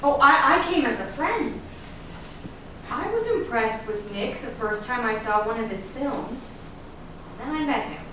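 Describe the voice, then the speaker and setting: A woman's voice: a little high, expressive, measured pace, a crowd in the background. A woman playing a detective's meddling wife in a staged drama reading, earnest and insistent, often hesitant. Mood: nervous, friendly. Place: echoey room at a staged reading. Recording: echoey room, distant mic, noisy background.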